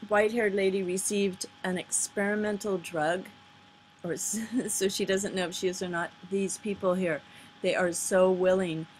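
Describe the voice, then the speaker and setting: A woman's voice: medium pitch, slight background noise. A woman giving rambling, earnest, off-the-cuff commentary in a casual style, on a poor-quality home recording. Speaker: video commentator. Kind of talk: casual off-the-cuff commentary over played videos.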